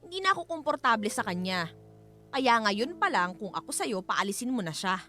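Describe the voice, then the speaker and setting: A woman's voice: fairly high pitch, sing-song, moderate pace, sharp tone, soft background music. A woman acting a wary, fretful wife in a Filipino radio drama. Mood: suspicious, agitated. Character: wary, fretful wife Thelma, anxious about strangers.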